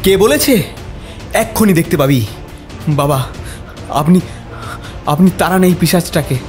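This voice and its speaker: A man's voice: high-pitched, lively intonation, unhurried, darkish tone, soft background music. A man voicing an angry young husband in a cartoon, accusing sharply.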